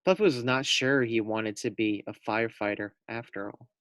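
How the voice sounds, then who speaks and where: A man's voice: fairly low pitch, steady tone, moderate pace, a bit bright, dry recording. A man reading a children's storybook aloud as a teacher, expressively, putting questions directly to young listeners.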